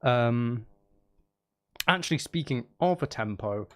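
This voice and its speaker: A man's voice: medium pitch, expressive, slow pace, a bit bright. A man chatting casually on a live stream as he makes music, geeky and playful, thinking aloud in a rambling way.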